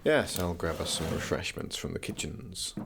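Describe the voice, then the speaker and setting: An actor playing a condescending young prince studying magic in an audio drama, speaking in a formal, measured, haughty manner. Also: male voice, deep voice, expressive, noisy background.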